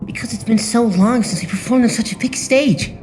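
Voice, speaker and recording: A woman's voice: a little high, a little fast, a little mellow, natural voice. A woman voicing a confident, breezy teenage boy idol who is eager to shine, in an anime fan dub. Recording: music under the voice.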